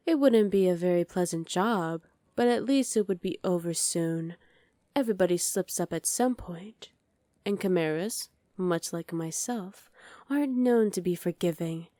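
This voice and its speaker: A woman's voice: fairly low pitch, moderate pace, warm tone, no room sound, studio quality, natural voice. A woman playing a dominant evil sorceress queen in an ASMR roleplay, commanding and condescending with cool menace. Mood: menacing, commanding. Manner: commanding, condescending, coolly threatening.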